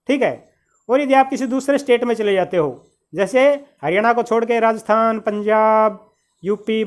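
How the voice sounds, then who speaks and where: A man's voice: sits high, clear, resonant tone. A man from Haryana, teaching beginner spoken English. He patiently repeats each phrase and calls his listeners "brother".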